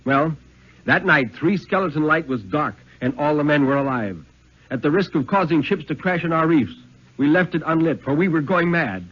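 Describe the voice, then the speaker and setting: A dull vintage radio recording carries a man's slightly high, husky voice. He reads a suspense story in the first person with theatrical weight and deliberate phrasing. His pitch moves freely as the tension shifts. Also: animated. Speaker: storyteller reading a thriller.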